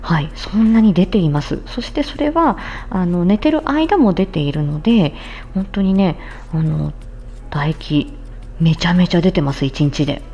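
A woman's voice: fairly low pitch, expressive, measured pace, warm tone, dull audio. A woman hosting a casual health talk as a speech therapist, explaining things conversationally and speaking straight to her listeners. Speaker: podcast host, speech-language therapist.

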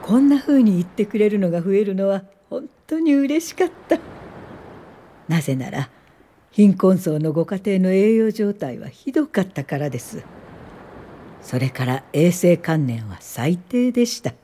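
An actress playing a gentle older social worker, narrating her memories in a measured radio drama style. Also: medium pitch, lively intonation, slight background noise, lightly acted. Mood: reflective. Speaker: narrator and lead character.

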